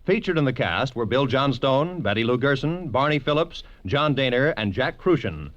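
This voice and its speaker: Lively vintage American radio announcer man. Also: mid-range voice, moderate pace. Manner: polished, emphatic promotional delivery. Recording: dull vintage 1940s radio broadcast.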